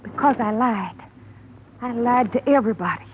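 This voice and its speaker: A woman's voice: fairly high pitch, normal speed, natural voice. An actress playing a desperate, obsessive young hired girl in an American radio drama, speaking with intense, pleading rural speech. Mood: fevered confession. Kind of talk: 1950s radio drama acting. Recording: noisy, tinny old radio recording.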